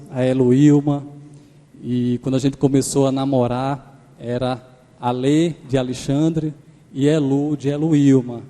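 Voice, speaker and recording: A man's voice: mid-range voice, warm tone. Pernambuco-accented man preaching in a church. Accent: Pernambuco Portuguese mixed with Maranhão. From Pernambuco, Brazil. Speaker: guest preacher. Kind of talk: sermon. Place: large church sanctuary. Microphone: handheld microphone. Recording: church hall reverb.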